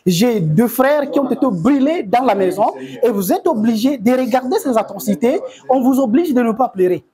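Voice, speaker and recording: A woman's voice: fairly high pitch, animated, normal speed, mellow. A woman with a Congolese accent giving first-person testimony as a victim. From South Kivu, DR Congo.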